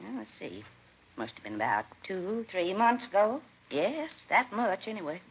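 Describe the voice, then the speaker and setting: A woman's voice: medium pitch, very animated, moderate pace, slightly rough. An actress playing a gossipy, blunt rooming-house landlady, chatty and folksy, in a 1950s radio crime drama. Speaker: landlady questioned by police. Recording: muffled, hissy vintage radio recording.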